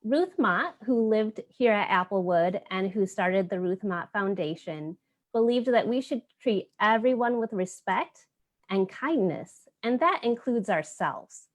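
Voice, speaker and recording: A woman's voice: medium pitch, expressive, unhurried. A woman hosting a virtual children's story time, explaining things in simple, child-friendly language.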